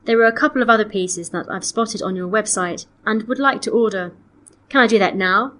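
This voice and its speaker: A woman playing a polite customer on a complaint call, reading scripted dialogue in a measured, clearly enunciated way. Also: medium pitch, a bit bright, close to an everyday voice. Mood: polite, matter-of-fact. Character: polite woman customer phoning to report missing, damaged antiques. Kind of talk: scripted listening-test dialogue.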